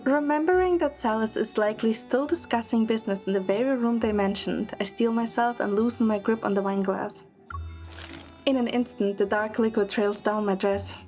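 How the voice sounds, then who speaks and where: A woman's voice: fairly high pitch, moderate pace, darkish tone, soft background music. A woman performing scripted first-person narration and lead dialogue in an audio drama.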